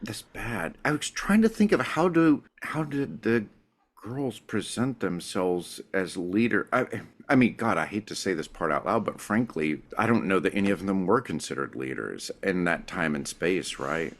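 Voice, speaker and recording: A middle-aged man with a Southern drawl from East Tennessee talks in a very low voice. His pitch jumps around a lot as he plays out little scenes from his own stories. It sounds like a casual podcast chat. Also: moderate pace. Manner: animated storyteller who acts out his own quotes. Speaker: marketing professor, podcast guest. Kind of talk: casual conversation.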